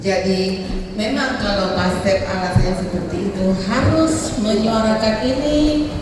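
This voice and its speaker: A woman's voice: medium pitch, unhurried, background noise. A woman on a judging panel, asking questions at length and adding joking asides. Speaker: competition judge. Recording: echoey hall, mic far off.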